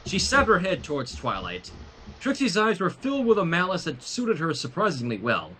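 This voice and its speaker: High-voiced man narrating a story. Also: huge pitch swings, moderate pace, pushed and bright, slight background noise. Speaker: fan fiction reader and livestream host. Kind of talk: story narration with casual asides.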